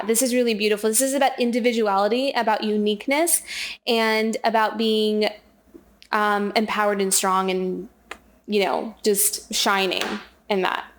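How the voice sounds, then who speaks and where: A woman doing a casual spiritual oracle card reading, talking in a soft, rambling way while losing her voice. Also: medium pitch. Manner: soft, rambling, repeats and restarts phrases. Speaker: tarot and oracle card reader.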